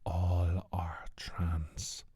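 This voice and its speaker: A man playing a menacing, scornful alien scientist in a soft-spoken ASMR roleplay, speaking slowly and deliberately in a breathy, raspy whisper. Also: sits low, completely flat delivery, dry recording, full character voice. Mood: ominous, measured. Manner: slow, deliberate, condescending.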